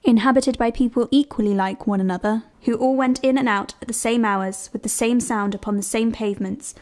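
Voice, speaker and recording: A woman reads descriptive literary prose as a narrator. Her voice sits a little on the high side. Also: fairly quick, darkish tone.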